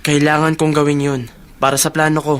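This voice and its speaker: A man's voice: on the high side, completely flat delivery, fairly quick, clean tone, close to an everyday voice. A man acting a loyal, encouraging friend in a radio drama, speaking in an earnest, reassuring way. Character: loyal, encouraging male friend, steady and sincere.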